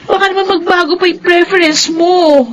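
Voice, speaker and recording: A woman's voice: very high voice, fairly even intonation, normal speed, lo-fi sound, slight background noise. A Filipino woman acting an anxious, protective younger sister who pleads urgently in a radio drama. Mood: alarmed pleading. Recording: music playing behind the voice.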